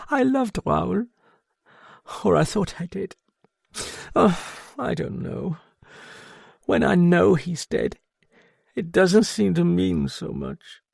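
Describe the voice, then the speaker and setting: A man's voice: mid-range voice, darkish tone, husky, no room sound, clean studio sound, pitched above the performer's own voice, full character voice. A man voicing a bitter, tearful young Englishwoman with an English accent, her speech slurred and broken, swinging from surly defiance to self-pity.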